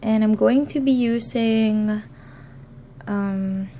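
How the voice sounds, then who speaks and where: A woman's voice: medium pitch, slow, dark tone, a little hiss or hum. A young woman hosting a crafting tutorial, talking hesitantly with many ums and apologies, recorded in an office. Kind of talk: casual how-to tutorial.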